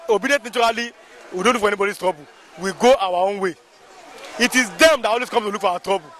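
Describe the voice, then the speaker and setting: A man's voice: very high-pitched, pushed and bright. A man with a Nigerian Yoruba accent speaking as a local movement spokesman, emphatic and repetitive, often checking "you understand?". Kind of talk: impassioned street interview.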